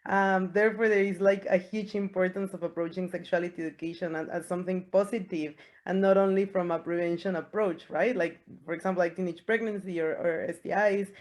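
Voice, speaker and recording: A woman's voice: lowish voice, steady tone, brisk, hardly any pauses, a little mellow. Earnest woman moderating a panel. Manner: earnest, uses rhetorical questions.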